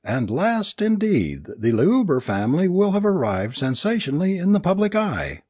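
Man voicing a high, distraught, sarcastic woman. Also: sing-song, normal speed, darkish tone, husky, dry recording, clean studio sound, full character voice. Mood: bitter mockery. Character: distraught, bitterly sarcastic woman, raving in despair.

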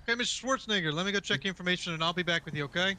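A man's voice: high-pitched, racing, pushed and bright. A man roleplaying a sheriff's deputy at a traffic stop, polite and businesslike, speaking over voice chat. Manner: polite, businesslike officer talk.

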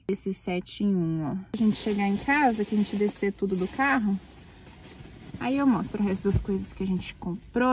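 A young Brazilian woman with a Brazilian accent, chatting casually to camera from a car on the road, rambling and chatty with bursts of excited talk. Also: medium pitch. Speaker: vlogger. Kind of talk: casual vlog chat to camera.

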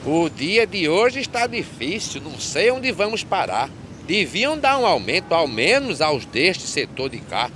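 An actor playing a cynical, complaining gravedigger in an animated film, speaking emphatic verse. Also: male voice, on the high side, unhurried, background noise. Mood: grumbling. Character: grumbling male cemetery gravedigger, cynical and worn-down.

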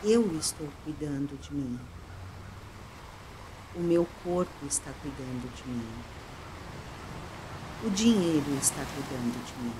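A Brazilian woman leading a guided meditation, speaking affirmations in a soothing, repetitive way with pauses. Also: sits low. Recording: loud wash of surf-like ambient noise.

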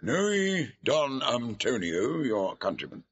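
A man's voice: mid-range voice, big pitch swings, slow, slightly rough, lo-fi sound, heavily acted. An actor playing a dignified, hearty lord and father in classical Shakespearean style, declaiming with authority. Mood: genial, probing. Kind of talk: classical Shakespearean audio drama acting.

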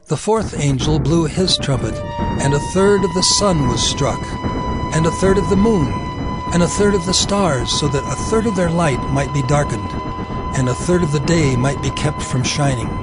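A man narrating dramatized scripture in a solemn, measured storytelling manner. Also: on the high side. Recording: music behind the voice.

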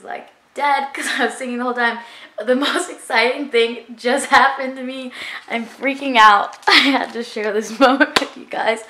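A woman's voice: fairly high pitch, a bit bright. A young woman in her twenties chatting casually to a handheld camera, upbeat and filling her talk with "like". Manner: chatty, upbeat, says "like" a lot. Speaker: vlogger. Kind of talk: casual vlog chat to camera. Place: home, car and gym.

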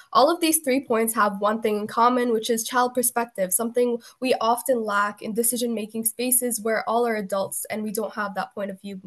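A sixteen-year-old girl with a slightly high voice speaks as a youth advocate. Her prepared speech is formal, earnest and clearly structured, delivered remotely over an online call. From Syria, later Canada.

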